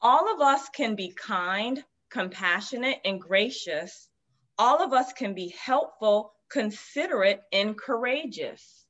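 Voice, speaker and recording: Slow gentle woman reading a picture book. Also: a little high, lively intonation. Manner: slow, gentle read-aloud. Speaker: guest storyteller. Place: room with shelves of children's books. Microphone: video call. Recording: dull lo-fi video call audio.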